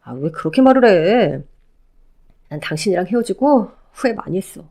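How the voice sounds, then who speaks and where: A woman's voice: mid-range voice, expressive, moderate pace, mellow. A wheedling ex-husband who keeps pleading and feeling sorry for himself, read by a woman. His voice swings up and down as he begs. He sounds like a figure in a told drama story. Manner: wheedling, whiny begging. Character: pleading, self-pitying middle-aged ex-husband.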